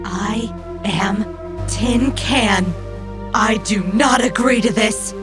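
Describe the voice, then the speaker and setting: A woman playing a female robot in a cyberpunk audio drama, speaking in a halting, literal, stubborn way. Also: mid-range voice, music in the background. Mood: distressed, defiant. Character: female robot with slow, literal, stubborn manner, discovering feelings.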